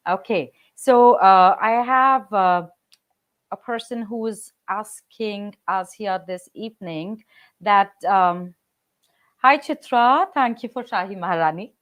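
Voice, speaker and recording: A woman hosting an interview show, lively and animated as she asks questions and addresses viewers. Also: mid-range voice, dull audio. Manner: lively, animated, quick laughs. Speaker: show host.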